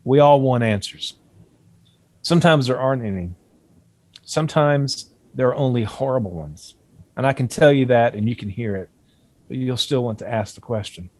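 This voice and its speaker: A man reading the part of a doctor in a screen drama table read. He speaks in a measured, formal way and keeps his guard up. Beneath the polite surface he is condescending and quietly menacing. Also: mid-range voice, dry recording, close to an everyday voice. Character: smooth, veiledly menacing male doctor, defensive and condescending.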